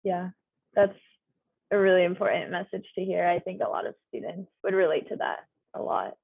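A woman's voice: fairly low pitch, gravelly, husky, dry recording. A young woman student interviewing a guest over a video call, encouraging and appreciative. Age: young adult. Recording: dry, tinny call audio.

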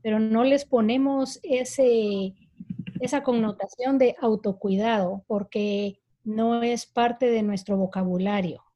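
Woman, Guatemalan accent, unhurried, measured, pauses to think. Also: on the high side, fairly even intonation, dark tone, muffled recording. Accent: Guatemalan Spanish. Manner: slow and thoughtful, with frequent hesitations. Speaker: guest speaker on parenting and self-care. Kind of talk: casual discussion.

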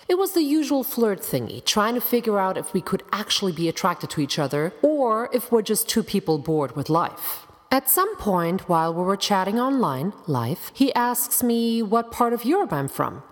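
A young adult woman with a German accent performs a comic personal monologue as a podcast host, theatrical and self-ironic, leaning on words for dramatic emphasis. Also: lowish voice. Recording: long reverb, music behind the voice.

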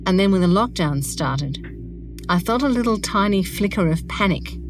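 A woman's voice: medium pitch, expressive, normal speed. A woman narrating a scripted audio guide, speaking conversationally and giving the listener direct instructions. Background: music.

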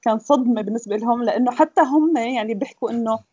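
Husky, mellow woman, fast and dry. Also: a little high, no room sound, lo-fi sound. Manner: fast, fills pauses with hesitations. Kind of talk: casual group chat.

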